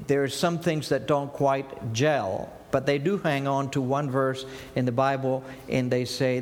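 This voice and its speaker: A man's voice: medium pitch, in a large hall. A man in his fifties from Argentina, with an Argentine Spanish accent, Spanish as his first language, preaching an earnest sermon full of rhetorical questions. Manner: earnest, asks rhetorical questions and answers them.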